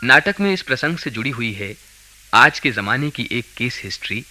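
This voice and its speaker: A man narrating a radio play in a formal, measured, scripted style. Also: medium pitch, lively intonation, pushed and bright, soft background music. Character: male narrator presenting a legend and case history.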